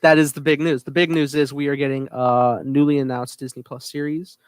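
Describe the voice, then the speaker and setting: A man's voice: mid-range voice, little pitch movement, fairly quick, clear, resonant tone, no echo, studio quality. A man hosting a fan podcast in a casual, conversational style. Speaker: podcast host steering the show.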